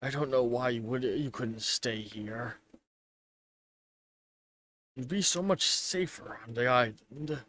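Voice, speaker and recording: Man acting a hushed, wistful worried man. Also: mid-range voice, takes it slowly, long pauses. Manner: hushed, sighing, musing to himself. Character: wistful worried man murmuring alone to an absent woman.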